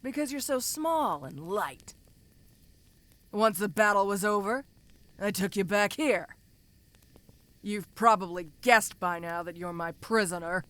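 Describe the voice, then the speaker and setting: A woman's voice: mid-range voice, animated, measured pace, pauses often, fairly bright tone. A woman voicing a tough, domineering giantess ogre in a fantasy audio roleplay, talking to the listener in a casual, teasing, condescending way.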